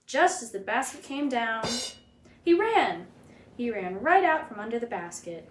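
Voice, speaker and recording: A woman reading a children's picture book aloud in an expressive, dramatic storytelling manner. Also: a little high, measured pace, soft background music. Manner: animated, dramatic read-aloud delivery. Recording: roomy echo, background noise.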